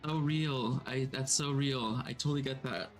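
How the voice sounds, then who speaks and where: A man hosting a casual stream, his voice processed to sound a little lower and fuller, chatting in a self-deprecating way with many fillers. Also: moderate pace, soft background music. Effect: voice processed slightly lower and more resonant. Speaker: streamer and game master. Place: home streaming room.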